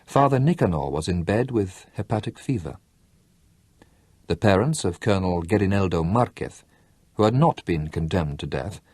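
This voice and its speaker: A man narrating a novel as an expressive audiobook reader. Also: fairly low pitch, husky, no echo. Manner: expressive storytelling reading. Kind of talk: scripted narration.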